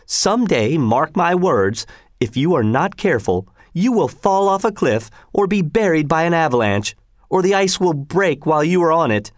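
Nagging worried mother voiced by a man, higher voice, lively pitch swings. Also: moderate pace, dry recording, clean studio sound. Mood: scolding. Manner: nagging, fretful, insistent. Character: scolding worried wife and mother. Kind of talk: audiobook character reading.

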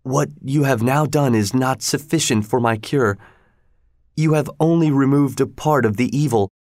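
A man's voice: mid-range voice, normal speed, darkish tone, no echo, clean studio sound, voice pushed up, lightly acted. A man voicing a stern Sultan who poses as a servant, solemn and reproachful, in a storybook tale. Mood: demanding. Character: stern Sultan posing as a sickly male servant.

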